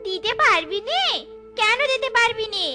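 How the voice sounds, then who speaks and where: An extremely high, girlish cartoon fox wife. She is greedy and petulant, nagging and bossing with whiny insistence. Loud tinkly music runs behind her. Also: animated, normal speed. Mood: furious. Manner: whining, bossy, insistent. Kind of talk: cartoon voice acting in a comic folk tale.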